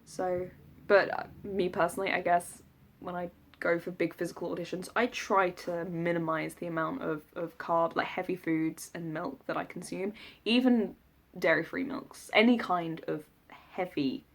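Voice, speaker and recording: A woman's voice: lowish voice, fairly even intonation, moderate pace, creaky. A woman in her twenties with a southern English accent, chatting casually to camera in a rambling, self-deprecating, jokey way. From south of England. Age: 20s. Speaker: vlogger recounting an audition. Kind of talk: casual rambling vlog chat.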